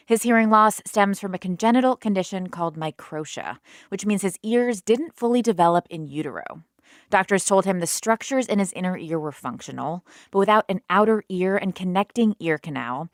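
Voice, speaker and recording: A woman hosting a radio program, narrating and asking her guest measured, guiding questions. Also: medium pitch, animated, no echo, studio quality. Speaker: podcast host. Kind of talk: narration and interviewing.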